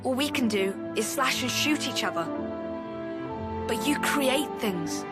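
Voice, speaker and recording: A kind young child in a fantasy game. The voice is high, earnest and encouraging. Loud music runs behind it. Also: female voice, lively intonation, a little slow. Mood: admiring.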